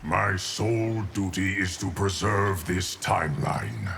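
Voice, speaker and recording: A very gravelly, low-pitched immortal guardian for a video game. He is stern and formal, weighing each phrase slowly and solemnly. There is a faint noise behind him. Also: exaggerated voice. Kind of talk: video game character dialogue.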